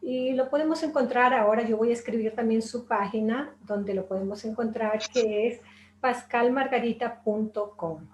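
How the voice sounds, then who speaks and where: A woman's voice: on the high side, normal speed, a little mellow. A woman hosting a webinar, introducing her guest in a chatty way with many fillers. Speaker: host, hypnotherapist. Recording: echoey room, faint noise.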